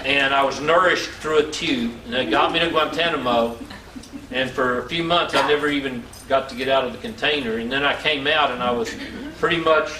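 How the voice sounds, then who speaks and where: Bright-voiced older storyteller, man, roomy sound. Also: medium pitch, brisk. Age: 60s. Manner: folksy, animated storyteller with repetition and acted-out dialogue. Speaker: speaker telling his life story to an audience. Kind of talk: anecdotal inspirational talk. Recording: roomy, distant mic, faint background noise.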